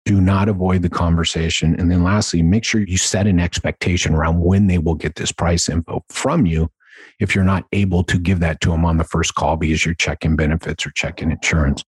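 A man's voice: low-pitched, fairly even intonation, a little fast, slightly rough, dry recording. A man hosting a solo instructional talk in a conversational, emphatic way, repeating key points and checking in with the listener as he coaches. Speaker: podcast host and sales coach.

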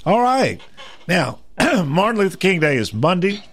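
A man hosting a local radio talk show, introducing guests in a relaxed, halting way with many fillers. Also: high voice. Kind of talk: casual radio chat.

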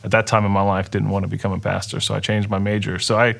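A middle-aged man whose first language is Dutch shares his story in a conversational interview. His voice sits a little low. He talks earnestly, often pausing and restarting mid-sentence. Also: flat delivery, gravelly. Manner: earnest, halting, many restarts and fillers. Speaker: interview guest. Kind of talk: personal testimony in conversation.

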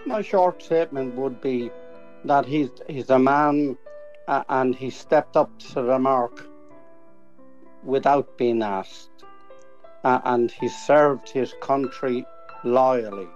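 An older Irish man giving an earnest tribute as an interview guest, emphatic and halting, repeating words as he goes. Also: mid-range voice, darkish tone, highs cut off, soft background music.

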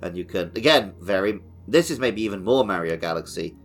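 A man's voice: fairly low pitch, animated, a little fast. A man co-hosting a podcast, chatting casually about a video game. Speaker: podcast co-host. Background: background music.

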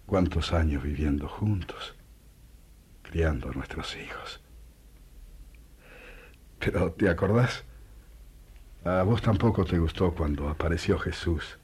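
Actor playing a slow, deep-voiced, resolute Argentine apostle. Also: male voice, a little mellow, slightly rough, full character voice. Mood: tender, reflective. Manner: earnest, deliberate, preaching fervor. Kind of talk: radio play acting.

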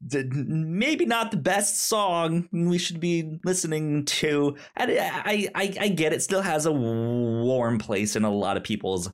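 A man co-hosting a casual film discussion, halting and often repeating the starts of his words. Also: on the high side. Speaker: podcast co-host reviewing a film.

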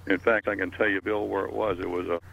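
Elderly man, very low voice, gravelly fry, rambling casual reminiscence, faint background noise. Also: little pitch movement, quick pace, highs cut off. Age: 80s. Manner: rambling, repeats words. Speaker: panelist.

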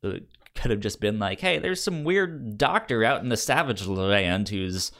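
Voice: mid-range voice, lively intonation, normal speed, a bit bright. A podcast co-host chatting casually about comics, with a stammer that often repeats sounds and words.

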